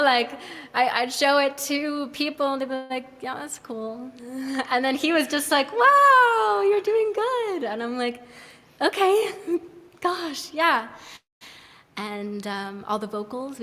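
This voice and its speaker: Female musician in casual stream chat, lightly high-pitched, giggly, with a long reverb tail on the mic. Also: fairly bright tone. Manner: giggly, informal, trailing asides. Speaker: singer and music maker, social media producer.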